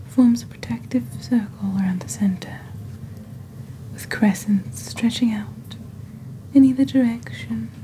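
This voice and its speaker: A young woman playing a genteel witch shopping-channel host in soft-spoken ASMR, with a breathy near-whisper and a deliberate, gently formal delivery. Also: mid-range voice, a little hiss or hum, close to an everyday voice, poor audio. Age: young adult. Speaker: ASMR performer.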